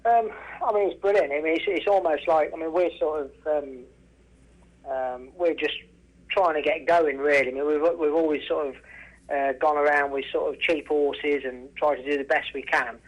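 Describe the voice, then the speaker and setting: Male interview guest on a phone line, slightly high voice, casual, halting, repeats for emphasis. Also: steady tone. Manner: halting, restarts often, emphatic repetition. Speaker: racehorse trainer and former jockey, interview guest. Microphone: telephone. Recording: telephone line, radio-style call.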